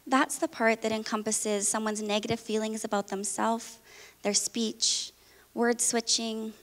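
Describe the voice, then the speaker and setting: A young woman speaks from a large auditorium stage, her fairly high voice carrying a long hall reverb. She talks in an earnest, measured way, like a prepared presentation. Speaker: speech therapist presenter.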